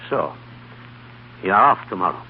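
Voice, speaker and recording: Man playing a grave old scientist, low voice. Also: animated, normal speed, close to an everyday voice. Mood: probing. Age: older. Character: grave, exacting old male scientist and mentor. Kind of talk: old-time radio drama acting. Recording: muffled, noisy old radio broadcast.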